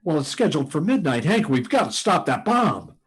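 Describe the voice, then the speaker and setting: An actor playing a frantic, halting test pilot pleading to warn of doom in a sci-fi radio drama. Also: male voice, fairly high pitch, very animated, slightly rough. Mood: desperate pleading. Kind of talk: live performance of 1950s sci-fi radio drama.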